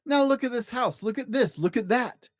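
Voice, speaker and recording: Man putting on a high, fussy, scolding fish. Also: normal speed, no room sound, studio quality. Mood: indignant, scolding. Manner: indignant, bossy, protesting. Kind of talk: children's storybook read-aloud.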